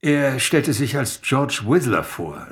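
An actor playing an eccentric, theatrical professor in a German radio drama, speaking in a hesitant, deliberate and evasive way. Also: male voice, medium pitch, sing-song. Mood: halting, confiding. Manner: hesitant, ponderous, deliberate delivery.

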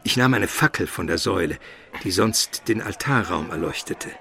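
A man's voice: deep voice, animated, moderate pace, gravelly, fairly bright tone, full character voice. A man playing an educated Byzantine minister who narrates his memories solemnly and vividly in a radio play. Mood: measured, awed. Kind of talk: dramatic scripted first-person narration, radio play.

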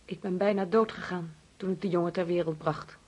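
A husky, bereaved mother. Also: fairly low pitch, fairly even intonation, brisk, darkish tone. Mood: bitter grief. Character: bereaved, bitter mother, obedient to her husband. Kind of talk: radio drama acting.

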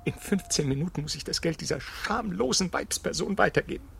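An actor playing a nervous, apologetic blackmailer in a radio play, muttering anxiously to himself. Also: male voice, fairly high pitch, expressive, a little fast, creaky, a bit bright, exaggerated voice. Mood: anxious, whispering. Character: nervous, apologetic amateur blackmailer, devoted to his mother. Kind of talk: 1970s German radio play acting. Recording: 1970s radio drama, noisy ambience.

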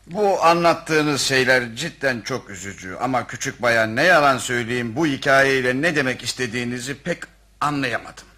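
An actor playing an officious, evasive police commissioner in a satirical radio play, pompous and pedantic in bureaucratic officialese. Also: male voice, medium pitch, moderate pace, pushed and bright. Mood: condescending, officious. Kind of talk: radio theatre acting, satirical comedy.

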